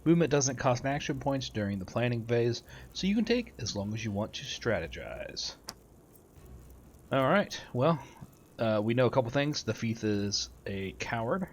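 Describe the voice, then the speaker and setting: Casual man commentating a game, mid-pitched. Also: very animated, normal speed. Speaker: gameplay video host. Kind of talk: casual game commentary, reading tutorial text aloud. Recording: faint background noise, game ambience.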